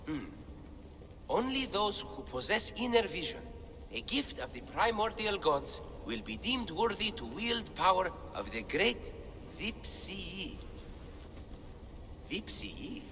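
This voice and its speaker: An actress playing an excitable, self-doubting scholarly woman in a video game, with an earnest, dramatic manner. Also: mid-range voice, takes it slowly. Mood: awed, eager. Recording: long hall reverb, faint noise.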